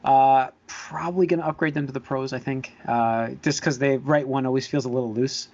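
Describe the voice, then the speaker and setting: A man's voice: mid-range voice, steady tone, brisk, a little mellow, dull audio. A man hosting a podcast in casual chat, rambling and easily sidetracked as he talks. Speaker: podcast host.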